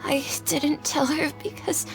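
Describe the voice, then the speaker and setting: A woman's voice: sits high, a little slow, gravelly, music in the background, low-quality recording. A woman voicing a sick, frightened, melodramatic young child in a video game. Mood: frightened, feeble.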